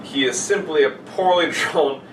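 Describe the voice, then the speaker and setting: A man's voice: on the high side, measured pace. A young man banters in a crude, heckling way, butting in on his friends. His voice is picked up at a distance in a roomy space, with noticeable noise. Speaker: podcast co-host. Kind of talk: casual banter among friends. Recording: echoey noisy room, distant mic.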